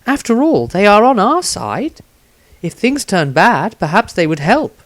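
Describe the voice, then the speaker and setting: A man voicing an earnest woman of an order in a fantasy story, with formal, measured speech. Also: sits high, sing-song, no room sound, voice pushed up. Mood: hopeful. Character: earnest female member of an order.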